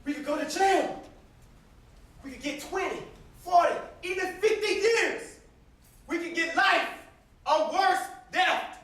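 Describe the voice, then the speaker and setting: Distant echoey stage sound, young man playing anguished moral brother, very high bright voice, earnest, pleading. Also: slow, long pauses, heavily acted. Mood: anxious. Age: young adult. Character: anguished, conscience-stricken brother resisting revenge. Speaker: student stage actor. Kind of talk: stage play acting.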